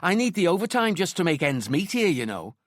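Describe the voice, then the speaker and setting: A disgruntled detective constable, played by a male narrator in a crime audiobook. He speaks with a Liverpudlian accent at a raised pitch. His manner is cheeky and complaining. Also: very animated, brisk, dry recording, clean studio sound.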